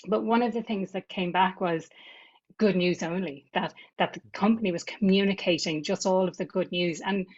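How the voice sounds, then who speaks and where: A woman's voice: lowish voice, moderate pace, a little mellow, muffled recording. A woman, a podcast guest talking reflectively, often repeating words as she gathers her thoughts. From Ireland. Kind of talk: conversational podcast interview.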